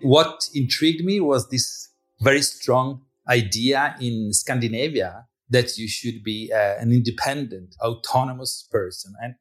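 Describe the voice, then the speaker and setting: A man's voice: medium pitch, unhurried, faint music behind. A man originally from Italy, a film director, talking thoughtfully in an interview with frequent restarts. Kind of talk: interview conversation.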